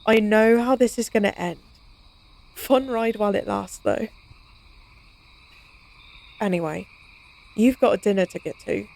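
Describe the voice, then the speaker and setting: A woman's voice: medium pitch, a little mellow, lightly acted. A woman playing a playful, cocky, science-obsessed vampire in an intimate audio roleplay, speaking in a casual, teasing, wry way. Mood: wry, resigned.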